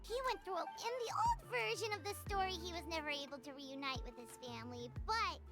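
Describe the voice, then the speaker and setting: A woman voicing a small, excitable, childlike fairy companion with a squeaky voice in an anime video game. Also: fairly quick, over loud music, exaggerated voice. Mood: chirpy, excited. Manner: refers to self in third person.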